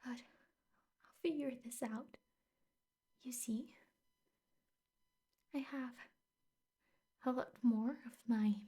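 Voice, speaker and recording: A woman playing a possessive, obsessive yandere teacher girlfriend in an ASMR roleplay, speaking softly and often whispering, coaxing and doting with menace underneath. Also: on the high side, takes it slowly, long pauses. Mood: softly menacing. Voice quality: soft, breathy, often whispering. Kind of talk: ASMR roleplay, intimate scripted monologue.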